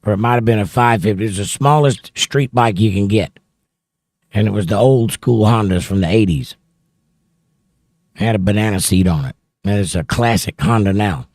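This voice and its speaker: Low-voiced man reading chat, dry close mic. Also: moderate pace, a little mellow, dry recording. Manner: reads viewer comments aloud, then rambles on them. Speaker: host reading live chat. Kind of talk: casual livestream chat. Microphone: close studio mic, held near the mouth.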